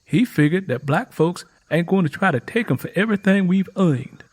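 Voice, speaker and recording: A man's voice: high-pitched, expressive, normal speed, breathy edge, voice pushed up. A man voicing an earnest, chatty, hungry country girl with a Southern accent in folksy rural dialect for an audiobook. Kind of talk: audiobook character voice.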